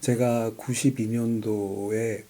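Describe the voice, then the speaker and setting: A man's voice: lowish voice, little pitch movement, slow, warm tone. A man in his forties, co-hosting a music talk show, telling his memories in a reflective, conversational way. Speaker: podcast co-host. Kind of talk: casual reminiscing music chat.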